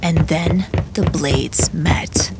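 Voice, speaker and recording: Female narrator, solemn n slow, creaky lowish voice, dramatic audiobook pauses, big music behind. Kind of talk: dramatic scripted story narration. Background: loud music.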